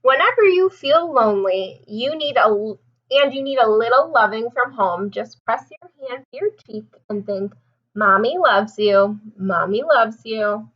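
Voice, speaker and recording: A woman voicing a gentle, loving mother raccoon in a children's storybook, reassuring her child tenderly and without hurry. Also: fairly high pitch, animated, measured pace, lo-fi sound. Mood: tender, soothing. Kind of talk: children's storybook character read aloud.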